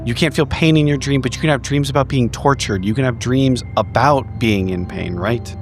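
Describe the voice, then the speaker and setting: Man, mid-pitched, tabletop roleplayer, argumentative, casual swearing, prominent music underneath. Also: moderate pace. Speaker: tabletop game player. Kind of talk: improvised in-character roleplay talk.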